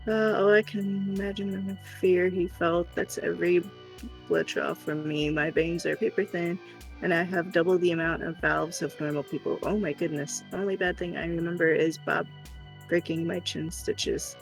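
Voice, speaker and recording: An animated speaker with a creaky, mid-pitched voice tells personal stories in a casual chat. Quoted remarks get acted out, and faint music plays behind. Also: moderate pace, low-quality recording. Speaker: live stream host.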